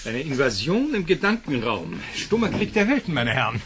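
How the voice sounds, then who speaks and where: An actor playing a cynical, mocking scientist in a German sci-fi audio drama, with a sardonic delivery. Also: male voice, medium pitch, big pitch swings, moderate pace, very noisy, lightly acted.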